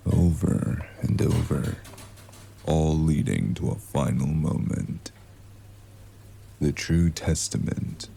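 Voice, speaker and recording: Mysterious male noir storyteller, acted by a man: heavy gravel, unhurried, ominous and terse. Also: very deep voice, dark tone, a little hiss or hum, full character voice. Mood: ominous, watchful. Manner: ominous, measured, terse phrases. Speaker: narrator. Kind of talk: slow noir scripted narration.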